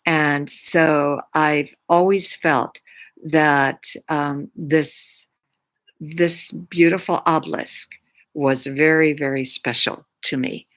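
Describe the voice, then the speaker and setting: A woman from Poland, whose first language is Polish, talking casually about her crystals as a spiritual teacher, pausing often for words. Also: low voice, fairly even intonation, poor audio. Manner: unhurried, searching for words with many fillers. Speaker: spiritual teacher and Reiki practitioner.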